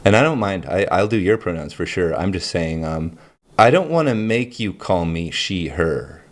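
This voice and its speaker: A man with a low voice hosting his own channel, talking through ideas in a rambling, reflective monologue full of fillers and frequent laughter. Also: lively intonation, moderate pace. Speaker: channel host.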